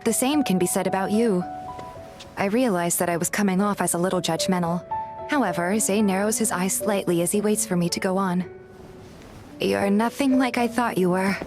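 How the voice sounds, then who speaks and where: A woman playing a curious, polite young game heroine, narrating in the first person and speaking her dialogue in an earnest, gentle manner. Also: medium pitch, normal speed, over music, close to an everyday voice. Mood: earnest, reflective.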